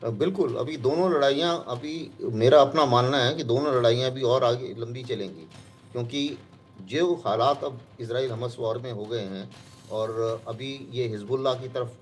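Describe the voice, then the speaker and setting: A man's voice: medium pitch, normal speed, soft background music, low-quality recording. A man giving analysis as an expert guest in a live news discussion.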